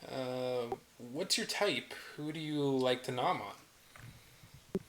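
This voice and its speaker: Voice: medium pitch, extremely slow, a little hiss or hum, poor audio. An actor reading the part of Danny, a teasing, jokey young woman friend, in a table read. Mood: playful. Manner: playful, jokey and upbeat.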